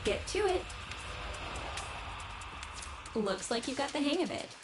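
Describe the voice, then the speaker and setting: A woman's voice: sits high, big pitch swings, fast. A woman playing a bitter, haughty scientist and specimen collector in a video game, speaking with theatrical disdain. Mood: haughty, impatient. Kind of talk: video game character acting. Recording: music behind the voice.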